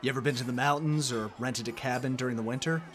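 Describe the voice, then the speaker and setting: An actor playing a thoughtful, helpful male friend in an audio drama, measured and inquisitive. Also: mid-range voice, steady tone, natural voice. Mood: curious, analytical. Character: thoughtful, helpful male friend who knows dream theory.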